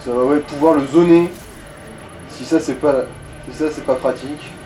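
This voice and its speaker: A man's voice: a little high, fairly even intonation, moderate pace, warm tone, a little hiss or hum, low-quality recording. A man giving casual game commentary as a companion, in informal, slangy and mildly crude language. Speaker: let's play co-commentator.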